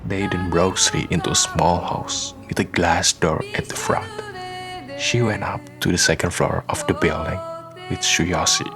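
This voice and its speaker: Male radio drama narrator: deep, very slow, grave and measured storytelling. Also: huge pitch swings. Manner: solemn, measured. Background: faint music.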